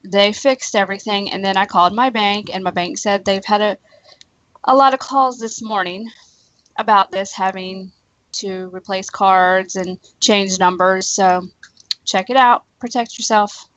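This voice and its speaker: A woman's voice: mid-range voice, steady tone, a little slow, fairly bright tone, no echo, highs cut off. Woman cohost chatting, laid back and matter-of-fact, dry close sound. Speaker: co-host. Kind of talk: casual chat.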